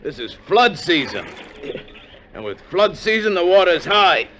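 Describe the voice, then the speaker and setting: An actor playing a watchful gold hunter in a 1950s radio drama, speaking in a deliberate, quietly ominous way. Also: male voice, high-pitched, very animated, slight background noise, heavily acted. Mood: calmly ominous. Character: slow, watchful, quietly menacing male jungle gold hunter named Gabe. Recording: dull vintage 1950s radio recording, faint music.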